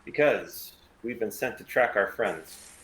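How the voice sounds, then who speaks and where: A man's voice: lowish voice, expressive, measured pace, fairly bright tone, rough recording. A man playing a wry mercenary starship pilot in a script table read, with a dry, casual, sardonic way of talking. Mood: weary, thoughtful.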